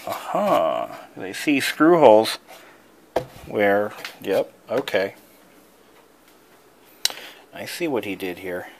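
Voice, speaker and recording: A man giving a casual, unscripted show-and-tell as a hobbyist, thinking aloud in a musing, halting way. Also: lowish voice, sing-song, slight background noise. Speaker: hobbyist showing an old device he is restoring.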